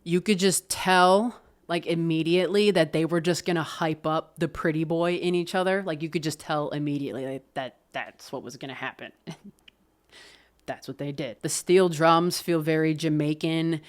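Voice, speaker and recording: Give me a deep-voiced woman rambling through a music review, expressive and loose. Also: normal speed. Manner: loose and expressive, frequent swearing and laughing. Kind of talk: casual album review monologue.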